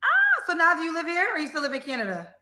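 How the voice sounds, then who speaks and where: A woman's voice: very high voice, huge pitch swings, quick pace, pushed and bright. A woman hosting a live show, asking guests questions in a bubbly, congratulatory way. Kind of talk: interviewing callers.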